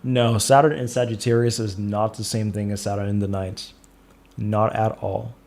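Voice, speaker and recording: A man hosting a casual live astrology Q&A, answering viewer questions in a calm, soothing way and thinking aloud. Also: lowish voice, moderate pace, vocal fry.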